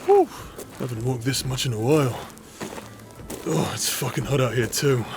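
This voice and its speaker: A man's voice: medium pitch, normal speed, music in the background, close to an everyday voice. A man playing a grumbling, complaining adventurer on a trek in an audio drama. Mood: weary, grumbling. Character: grumbling, foul-mouthed male adventurer on a trek. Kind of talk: scripted audio drama roleplay.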